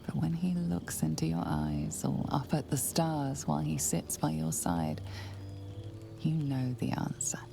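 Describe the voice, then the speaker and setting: A deep, gravelly game narrator. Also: female voice, exaggerated voice. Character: female game narrator, calm, storybook tone. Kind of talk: scripted narration. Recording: game narration with soft music.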